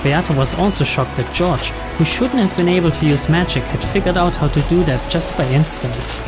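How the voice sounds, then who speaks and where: Man narrating, slightly high-pitched voice. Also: moderate pace. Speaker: narrator, game playthrough host. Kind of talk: reading visual novel narration aloud. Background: clear game music.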